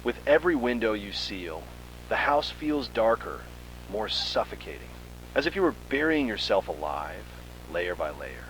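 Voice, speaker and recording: A man with a fairly low voice narrates a horror tale in the second person. His delivery is theatrical and full of suspense, swinging widely in intonation. A faint noisy hum sits behind the voice. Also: very animated, normal speed, a bit bright. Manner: dramatic, suspenseful storytelling.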